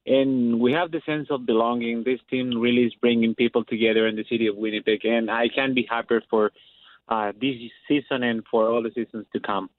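A man from Chile calling in to a radio show, speaking earnestly and at a measured pace. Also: medium pitch, steady tone, no echo. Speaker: caller. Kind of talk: casual phone chat. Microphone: telephone. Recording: tinny phone line.

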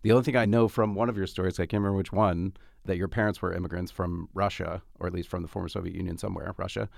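A man interviewing a guest, asking slow, measured questions. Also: low-pitched, fairly even intonation, gravelly, no echo, studio quality.

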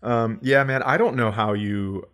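A man's voice: mid-range voice, measured pace, a bit bright. A man in casual comedy podcast banter, wry and sarcastic, swearing freely and acting out quips.

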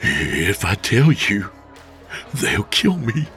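Brief: whiny stammering low-life, voiced by a man, bright-edged tone. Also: very animated, extremely slow, soft background music, exaggerated voice. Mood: scared. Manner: stammering, whining. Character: cowardly sleazy male crook, whining and stammering.

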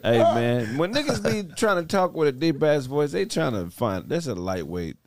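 A man's voice: medium pitch, sing-song, normal speed. A man riffing blunt jokes in casual group banter.

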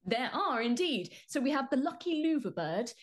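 A British woman chatting enthusiastically as a guest contributor, with an animated, playful manner. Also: high-pitched, moderate pace. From United Kingdom. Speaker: guest contributor presenting a show. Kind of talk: chatty, enthusiastic show preview.

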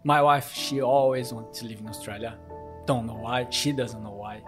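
A man's voice: medium pitch, fairly even intonation, moderate pace, faint music behind. A man in his late thirties from Brazil whose first language is Korean, frankly telling his own story in a casual interview.

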